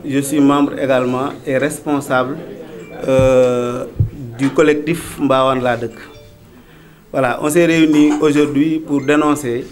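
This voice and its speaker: A man from Senegal with a Senegalese accent speaking as spokesman for a local collective, measured, with hesitant fillers. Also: mid-range voice, fairly even intonation, faint music behind. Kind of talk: press statement.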